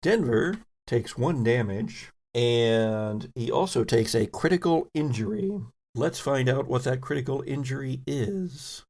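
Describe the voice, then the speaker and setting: A man narrates a tabletop game as its game master. His voice sits at a medium pitch with noticeable vocal fry. He takes his time, describing things deliberately and improvising as he goes. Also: lively intonation, a little mellow.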